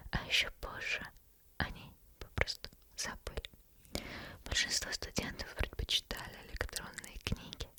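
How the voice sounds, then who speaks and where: A woman whispering as a teasing female demon who reads a bedtime story, slow and intimate, close to the microphone. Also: close to an everyday voice. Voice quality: soft unvoiced whisper throughout. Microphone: close sensitive ASMR microphone.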